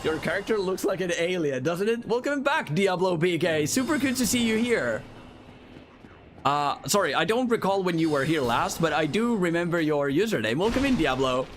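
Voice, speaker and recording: A man's voice: high-pitched, very animated, normal speed, noisy background. A man from Finland, chatting as a streamer over live gameplay and reading chat aloud, often apologizing.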